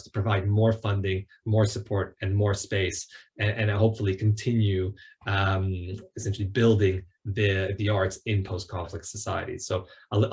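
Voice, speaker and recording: A man speaking as an academic panelist, giving measured, analytical prepared remarks on a video call. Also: fairly low pitch. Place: online webinar.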